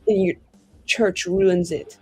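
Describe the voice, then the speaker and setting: The resentful, defiant mage of a fantasy roleplaying stream, played by someone of unclear sex, very husky, biting off each deliberate grievance over faint guitar. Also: mid-range voice, flat delivery. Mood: bitter, resentful. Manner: clipped, deliberate, cutting. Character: bitter, proud, defiant mage resenting confinement. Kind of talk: in-character improvised dramatic dialogue.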